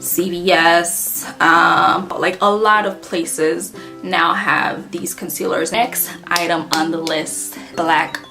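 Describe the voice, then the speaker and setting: A woman with a light Haitian Creole accent talks through her favorite makeup products in a loose, rambling way, pausing on ums and correcting herself as she goes. Her voice sits on the lower side, and a guitar track plays clearly behind her. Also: steady tone. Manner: chatty, rambling, many ums and self-corrections. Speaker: beauty vlogger. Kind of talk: casual makeup product review. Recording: clear background guitar music.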